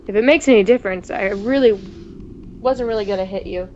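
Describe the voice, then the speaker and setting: A woman co-hosting a gaming video, reading visual novel text aloud in a playful, expressive way with casual asides. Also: mid-range voice, moderate pace. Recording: dull, noisy home recording.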